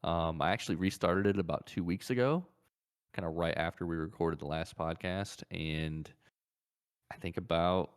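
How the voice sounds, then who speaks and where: A man's voice: deep voice, normal speed, clear, resonant tone. A man hosting a podcast, chatting casually about video games and often circling back to repeat his phrases. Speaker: podcast host.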